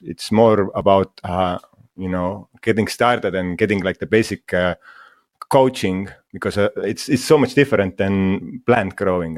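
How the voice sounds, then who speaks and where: A man from Estonia, a guest in an interview, speaking English in a halting way with many fillers and restarts. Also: low-pitched, a little mellow, dry recording, clean studio sound. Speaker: guest, mushroom school founder. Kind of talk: casual interview talk.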